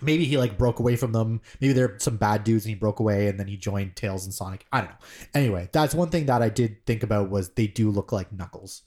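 A man co-hosting a podcast, chatting casually about movies in a rambling, excitable way. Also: fairly low pitch, fairly quick. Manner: rambling, excitable, many fillers and restarts. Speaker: podcast co-host.

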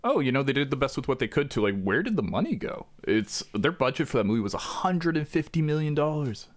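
A man's voice: medium pitch, fast talker. A man co-hosting a podcast, leading the casual chat and asking his co-host questions. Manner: leads the talk, asks questions, animated.

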